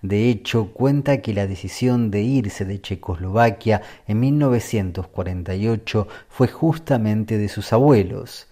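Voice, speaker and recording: A man narrating a scripted radio feature as a reporter, with a measured, even storytelling delivery. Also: fairly low pitch.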